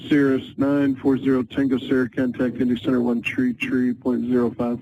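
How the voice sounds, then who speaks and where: A man working as an air traffic controller, calmly giving procedural instructions and repeating them over an aviation radio. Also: mid-range voice, normal speed. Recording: narrow, noisy aviation radio transmission.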